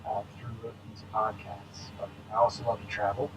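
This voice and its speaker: A man in his twenties co-hosting a casual podcast, easygoing with quick joking asides. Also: on the low side, little pitch movement, music in the background, rough recording. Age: 20s. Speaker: podcast co-host. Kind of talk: casual chat.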